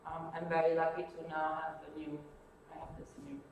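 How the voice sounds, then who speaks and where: Woman, native Greek speaker, halting lecture, many fillers, distant camera, long hall reverb, audible room noise. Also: sits low, flat delivery, creaky, darkish tone. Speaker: guest lecturer. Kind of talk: university colloquium talk. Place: large university lecture hall, indoors. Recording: echoey hall, far from mic, noisy.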